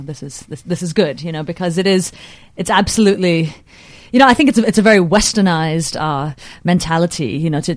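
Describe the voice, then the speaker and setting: A woman's voice: sits low, dry recording. A woman in her thirties, a podcast guest, rambling with lots of fillers. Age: 30s. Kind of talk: casual panel discussion.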